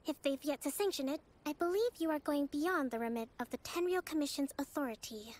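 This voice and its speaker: A woman's voice: high-pitched, a little fast, darkish tone, natural voice. A woman playing a poised, firm young island leader in a video game, speaking politely and articulately like a diplomat. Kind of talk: scripted video game dialogue.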